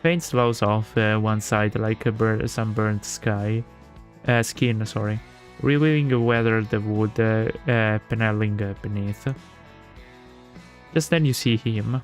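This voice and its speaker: A man's voice: on the low side, steady tone, measured pace, soft background music. A man with an Italian accent, Italian his first language, streaming himself reading a visual novel aloud and chatting with viewers, with lots of fillers and restarts.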